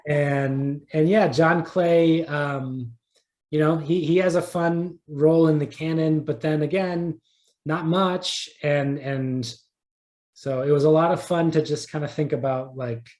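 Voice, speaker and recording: A man being interviewed as a writer, talking casually and haltingly, often repeating words as he thinks aloud. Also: a little high, fairly even intonation, a little mellow. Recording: roomy echo.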